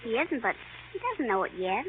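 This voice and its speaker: A woman's voice: on the high side, sing-song, brisk. An actress playing a blunt, nosy little girl who loves to tattle, in a 1930s radio comedy. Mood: secretive, smug. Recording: tinny, noisy 1930s radio broadcast.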